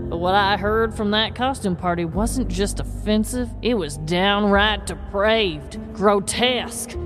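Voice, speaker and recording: A woman voicing a pompous, falsely warm male corporate director in a comedic audio story. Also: medium pitch, natural voice. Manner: pompous, drawn-out, insincerely friendly.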